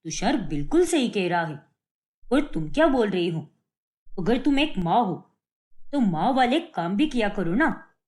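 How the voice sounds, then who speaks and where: A sarcastic middle-aged cartoon dad, voiced by a woman, firm and protective. The delivery is theatrical and teasing, with very lively rises and falls at a medium pitch for a female voice. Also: a little slow. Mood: scolding. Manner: taunting, theatrical.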